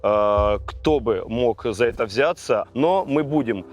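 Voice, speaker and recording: A man's voice: medium pitch, takes it slowly. A man hosting a reality show, presenting and briefing the contestants. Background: faint music.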